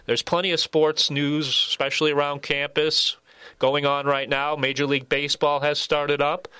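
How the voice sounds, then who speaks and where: A man's voice: on the high side, flat delivery, normal speed, bright, forceful tone, clean tone, dry recording. An American man hosting a casual sports podcast, putting questions and prompts to his guests. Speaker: podcast host. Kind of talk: casual sports interview chat.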